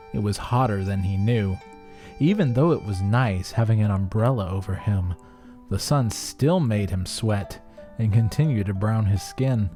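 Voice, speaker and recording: A man narrating a rhyming folk tale as a storyteller, theatrical and expressive. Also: fairly low pitch.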